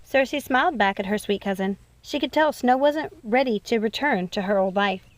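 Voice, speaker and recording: A woman's voice: fairly high pitch, moderate pace, dry recording. A woman narrating a storybook expressively as an audiobook reader.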